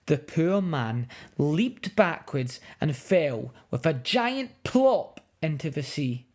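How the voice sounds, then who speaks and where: Female storyteller, lowish voice, highly varied intonation, dramatic, children's read-aloud. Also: slow pace. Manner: expressive, dramatic, with emphatic sound words. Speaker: storyteller reading a picture book.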